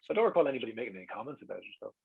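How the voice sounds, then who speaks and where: A man's voice: medium pitch, big pitch swings, very fast, creaky, breathy edge. A middle-aged Irish man telling casual, rambling anecdotes as an interview guest, wry and self-deprecating, in a slightly lo-fi recording. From Ireland.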